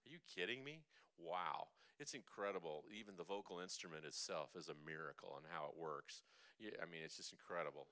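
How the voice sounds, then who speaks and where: A man's voice: low voice, a little fast, bright, forceful tone. A man teaching a vocal seminar, chatty and jokey, with playful asides to the room. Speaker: vocal coach.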